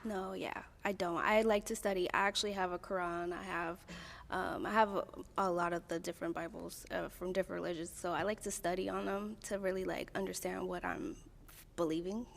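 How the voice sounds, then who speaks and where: A woman of thirty on a dating show, answering thoughtfully with hesitant pauses. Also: lowish voice, steady tone, vocal fry, big-room sound. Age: 30s. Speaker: dating game contestant.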